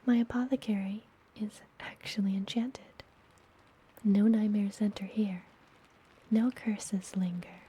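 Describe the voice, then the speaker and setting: A woman playing a friendly apothecary witch in a fantasy ASMR roleplay, speaking gently in a breathy, soothing whisper. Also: medium pitch, fairly even intonation. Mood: reassuring. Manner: slow, gentle, soothing delivery. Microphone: close ASMR microphone.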